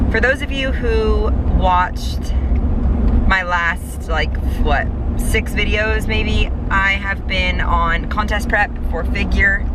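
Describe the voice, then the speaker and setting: A young woman in her twenties with a stuffy, congested nose, talking in a hesitant, reflective and apologetic way as she vlogs from her car. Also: mid-range voice. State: congested from a cold. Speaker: fitness vlogger. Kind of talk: casual confessional vlog. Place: inside a car while driving. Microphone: compact camera's built-in mic. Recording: loud car road noise.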